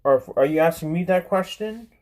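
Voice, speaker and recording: A man in his twenties hosting a casual livestream, talking to viewers in a halting, repetitive way with frequent restarts. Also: on the high side, darkish tone. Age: 20s. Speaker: live streamer, channel host.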